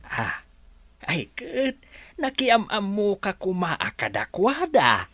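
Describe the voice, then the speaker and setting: A gossipy resort worker in a radio play, slow, swingy pitch, dry sound. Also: female voice, wildly swooping pitch, a bit bright, breathy edge, dry recording, heavily acted. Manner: deferential, gossipy. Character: female resort staffer, chatty, gossipy.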